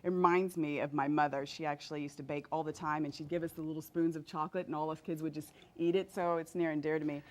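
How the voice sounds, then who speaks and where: A woman's voice: deep voice, steady tone, fast talker, few pauses. A woman from Louisiana, a guest chef on a TV kitchen set, cheerfully explaining each step as she cooks. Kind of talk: cooking demonstration.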